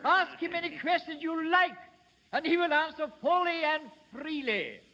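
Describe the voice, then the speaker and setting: A man's voice: very high voice, moderate pace, pushed and bright. A man playing a pompous music-hall master of ceremonies, announcing in a florid, projected 1930s radio acting style. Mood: grand, showy. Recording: noisy muffled 1930s radio broadcast.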